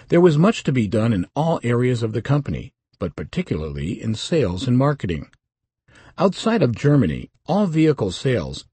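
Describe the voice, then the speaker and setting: An older man from Switzerland with a Swiss accent, reading his memoir in a measured, dry storytelling manner. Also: on the low side, warm tone, breathy edge, dry recording. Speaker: memoir narrator. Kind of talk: scripted first-person narration.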